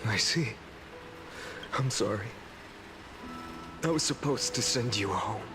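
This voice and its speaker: An actor voicing a weary, burdened male hero in a video game, speaking solemnly and haltingly. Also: medium pitch, expressive. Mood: sorrowful. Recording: game audio under loud music.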